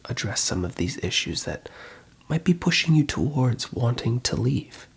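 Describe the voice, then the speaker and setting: An ASMR host whispering in a breathy voice, giving reassuring, unhurried advice as a call centre phone counsellor. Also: mid-range voice, big pitch swings.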